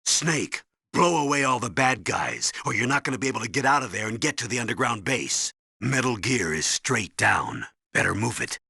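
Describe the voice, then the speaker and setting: Stern, hard-edged colonel. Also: male voice, medium pitch, expressive, moderate pace, bright, forceful tone, no echo, studio quality, exaggerated voice. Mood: urgent, commanding. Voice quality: husky. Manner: commanding, urgent, instructive. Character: stern, urgent older male colonel giving mission orders. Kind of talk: video game voice acting.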